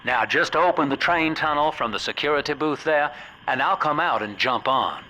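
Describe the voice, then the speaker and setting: A slick, folksy hustler, drawls chummy wisecracks in colorful old-time slang. He comes through a clearly noisy radio-style transmission, as in a retro video game. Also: a little high, moderate pace, sharp tone. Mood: jovial, scheming. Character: folksy, slick fast-talking male hustler guiding the player.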